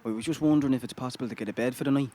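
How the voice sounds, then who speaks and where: A man's voice: medium pitch, rapid-fire, mellow, close to an everyday voice. An actor playing a desperate, frustrated homeless man in his thirties with a Dublin accent, pleading and swearing in a naturalistic radio play. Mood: polite, hopeful. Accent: Dublin Irish. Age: 30s. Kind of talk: naturalistic radio drama acting.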